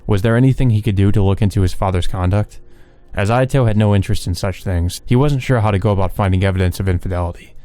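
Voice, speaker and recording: A man's voice: lowish voice, fast, hardly any pauses, dark tone. A man reading a visual novel aloud and commenting casually as he plays. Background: game music.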